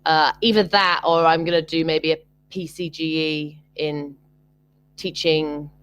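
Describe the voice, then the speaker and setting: A woman's voice: low voice, fairly even intonation, unhurried, fairly bright tone, clear, resonant tone, dull audio. A young British woman tutoring over a video call, chatting casually with frequent ums and restarts. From Britain. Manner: hesitant, many ums and restarts. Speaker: online English tutor.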